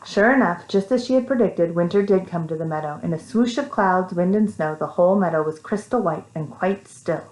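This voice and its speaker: A woman with an American accent reading a story to young children, animated, with simple casual asides. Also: fairly low pitch, fairly quick, hardly any pauses. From Texas, United States. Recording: echoey room.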